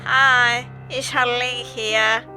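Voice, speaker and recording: A nosy, obliging churchy neighbour from a comic radio play, acted by a woman. Her chirpy voice is bright and she speaks slowly. Faint music is behind her. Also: a little high, little pitch movement, exaggerated voice, poor audio. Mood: concerned. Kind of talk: comedic radio play acting.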